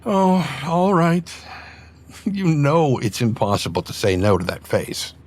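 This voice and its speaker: A man voicing a gentle, sentimental aging father animal in a motion comic, speaking tenderly and with emotion in a soft, husky voice. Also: fairly high pitch, big pitch swings, unhurried. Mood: moved, tender.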